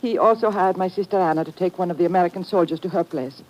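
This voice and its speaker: Frightened young woman actress, fast delivery, formal and pleading, vintage radio thriller. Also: on the low side, darkish tone, lo-fi sound, natural voice. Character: frightened young woman hired as fake grieving widow. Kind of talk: old-time radio thriller acting.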